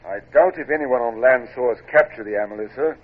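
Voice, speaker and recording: An actor playing a dutiful naval lieutenant in a radio drama, speaking in a brisk, formal, deferential way. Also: male voice, mid-range voice, close to an everyday voice. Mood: dutiful, alert. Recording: muffled, noisy vintage radio recording.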